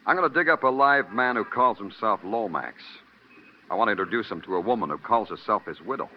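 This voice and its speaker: Through a noisy, muffled vintage broadcast comes a man playing a hard-boiled American detective lieutenant. He is clipped and sardonic, with a voice that swings widely in pitch, in classic radio crime drama style. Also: lively intonation, fairly quick, lightly acted. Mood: brusque. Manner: terse, dry, clipped. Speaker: lead actor and narrator.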